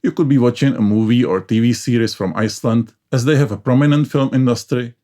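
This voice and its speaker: A man's voice: medium pitch, quick pace, dark tone. Man narrating. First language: Czech. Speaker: educational channel host. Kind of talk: scripted explainer narration.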